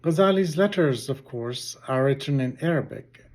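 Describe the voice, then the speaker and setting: A man whose first language is Urdu, hosting his channel and giving a measured, formal reading of a religious text. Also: medium pitch, animated, darkish tone. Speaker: channel host.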